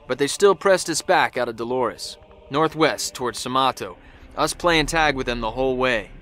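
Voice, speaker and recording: An actor playing a weary but cocky American infantry soldier, narrating in wry, slangy style for a game cutscene. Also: male voice, mid-range voice, bright, forceful tone, lightly acted. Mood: cocky, satisfied.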